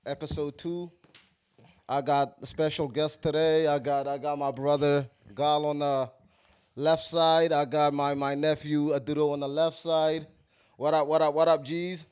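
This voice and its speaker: A man's voice: a little high, flat delivery, a little slow, darkish tone, clear, resonant tone. A thirty-year-old man hosting a podcast, bantering casually in loose, profane slang. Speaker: podcast host.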